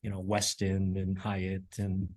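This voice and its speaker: A middle-aged man, a chef whose first language was German, telling his family story in a relaxed interview with many ums and repeated words. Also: deep voice, a little slow. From United States (Colorado). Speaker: chef and culinary educator, interview guest.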